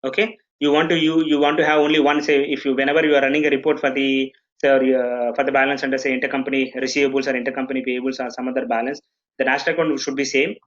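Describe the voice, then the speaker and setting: Brief: male trainer, mid pitch, talks through setup steps with lots of "okay"s, dull lo-fi audio. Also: little pitch movement, quick pace. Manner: explanatory, full of "okay" checks and restarts. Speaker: software trainer. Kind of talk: live online training session.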